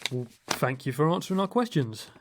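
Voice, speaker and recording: A polite, cautious adventurer, played by a man in a fantasy audio drama. The voice sits fairly high, and he speaks courteously and a bit tentatively. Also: sing-song, fairly quick, background noise. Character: polite, cautious male adventurer interviewing recruits.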